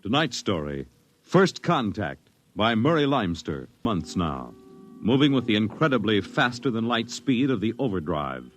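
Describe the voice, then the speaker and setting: An American man narrating a 1950s radio drama in a portentous, measured, declamatory style. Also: on the low side, very animated. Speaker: announcer and narrator. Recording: vintage radio recording over dramatic music. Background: loud dramatic music.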